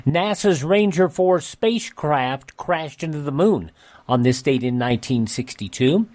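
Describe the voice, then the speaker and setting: A man hosting a radio show, reading a scripted narration of historical events. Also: a little high.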